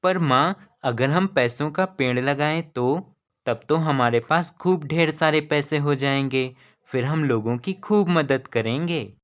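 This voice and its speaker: A man's voice: on the high side, unhurried, a bit bright. A man voicing an earnest, kind-hearted young boy in a cartoon story, eager and pleading. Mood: hopeful.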